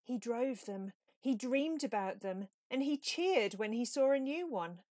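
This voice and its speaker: A woman reading a children's storybook aloud in a measured, expressive way. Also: on the high side, no room sound, clean studio sound. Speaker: storybook reader. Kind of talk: scripted children's story narration.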